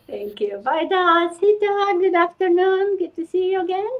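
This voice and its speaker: A woman's voice: very high-pitched, moderate pace. A woman from Romania hosting a casual online panel, friendly and chatty. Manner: friendly, chatty, laughs lightly. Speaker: host. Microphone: video call. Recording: dull lo-fi sound.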